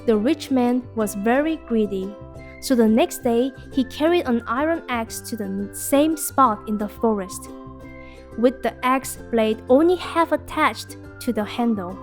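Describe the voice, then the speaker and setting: Slow, high-voiced woman telling fairy tales. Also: lively intonation, soft background music. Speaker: storyteller. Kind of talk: scripted fairy tale narration for children.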